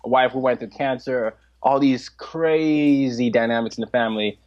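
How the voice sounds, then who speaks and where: A man in his twenties, a podcast guest, talking in an animated way, laughing often and restarting his sentences. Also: medium pitch, normal speed, dull audio. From Nigeria. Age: 20s. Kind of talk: casual interview chat.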